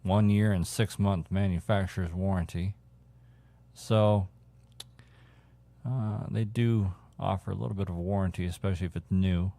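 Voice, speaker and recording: A man hosting a casual radio hobby chat, thinking aloud in a halting way. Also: deep voice, fairly even intonation, creaky, dark tone, no room sound. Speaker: radio hobbyist host showing viewers CB radio gear.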